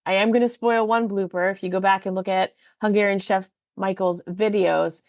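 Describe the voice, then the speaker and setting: A woman's voice: medium pitch, steady tone, normal speed, a little mellow, no room sound, clean studio sound. A woman hosting a podcast interview in a chatty, casual way, often correcting herself and laughing. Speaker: podcast host.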